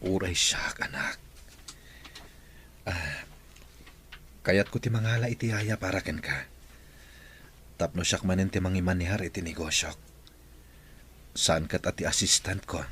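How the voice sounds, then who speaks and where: Deep-voiced gentle father, played by a man. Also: measured pace, long pauses, a little hiss or hum. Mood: gentle, consoling. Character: gentle widowed father consoling his young child. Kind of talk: Ilocano radio drama acting.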